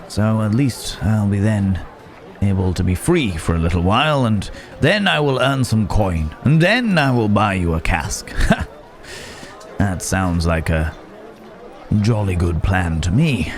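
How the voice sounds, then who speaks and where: Troubled gentleman werewolf played by a man, ASMR fantasy roleplay. Low, unhurried, hesitant, antique courtesy. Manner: old-fashioned formal phrasing, hesitant, musing. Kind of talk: ASMR roleplay acting, one-sided dialogue. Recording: busy outdoor market ambience behind voice. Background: market crowd ambience.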